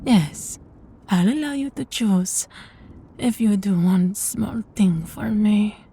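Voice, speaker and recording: Casting: female actor for a sadistic vampire mistress, unhurried and darkly mellow, possessive and condescending, intimate audio roleplay. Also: mid-range voice, animated, slight background noise. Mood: seductive, possessive. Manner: slow, teasing, condescending, often dropping to a breathy whisper.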